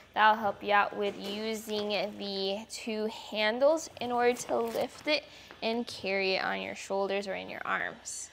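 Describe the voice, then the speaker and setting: A young woman presenting a product walkthrough in an indoor garage studio, explaining features in a clear, friendly way on a lapel mic. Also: mid-range voice, a bit bright, slight background noise. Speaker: product reviewer. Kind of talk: product demonstration.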